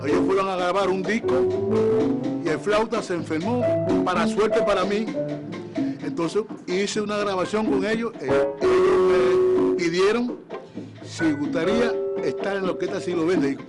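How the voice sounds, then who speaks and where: A man's voice: high voice, a little slow, loud music behind the voice, low-quality recording. A man from Santiago de Cuba with a Cuban accent, an orchestra musician telling his story in an interview. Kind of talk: personal anecdote in an interview.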